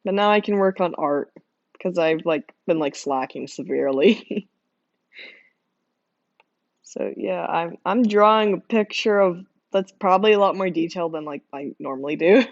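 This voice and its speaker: A young woman with a hoarse, worn-out voice chatting casually with her stream viewers, laughing often and rambling with lots of fillers. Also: on the low side, a little slow, long pauses, no echo. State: hoarse, losing voice from screaming. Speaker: livestreamer drawing art. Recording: dull lo-fi dry mic sound.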